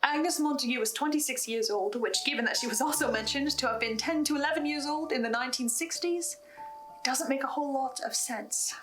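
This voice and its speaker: Female recap host, higher voice, scripted storytelling with personal asides, faint music underneath. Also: a little fast, fairly bright tone. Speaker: host of a recap and theory video on a horror podcast.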